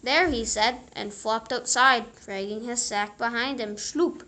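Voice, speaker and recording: A woman reading a children's storybook aloud with deliberate phrasing and punchy sound-effect words. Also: fairly high pitch, steady tone, bright, forceful tone.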